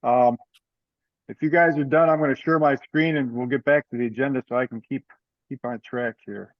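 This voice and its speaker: A man chairing an informal online meeting, giving updates in a halting way with repeated words. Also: mid-range voice, steady tone, no echo. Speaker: meeting chair of an amateur radio club group. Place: online video call. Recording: slightly dull video-call audio.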